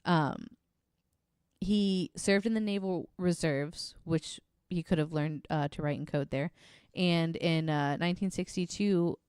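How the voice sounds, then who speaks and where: A woman's voice: fairly low pitch, unhurried, darkish tone, clear, resonant tone, no room sound, clean studio sound. A woman co-hosting a podcast, telling true-crime stories in a casual, amused, informal and sweary way. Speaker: podcast co-host.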